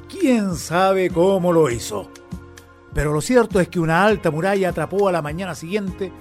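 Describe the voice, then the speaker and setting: A man with a Chilean accent narrating a radio story in a dramatic, scripted style. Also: a little high.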